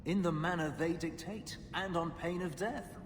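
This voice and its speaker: An actor playing an earnest, opinionated companion with a serious manner in a fantasy video game. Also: male voice, on the high side, moderate pace, natural voice. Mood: challenging. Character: earnest brooding male companion, opinionated freedom lover. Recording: echoey hall reverb, noisy ambience behind.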